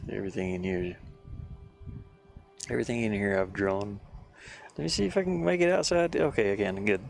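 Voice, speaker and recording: A man chatting casually on a livestream while he works, in a halting, rambling, easygoing manner. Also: fairly low pitch, soft background music. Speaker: livestreamer, hobby game artist. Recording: clear background noise, live stream.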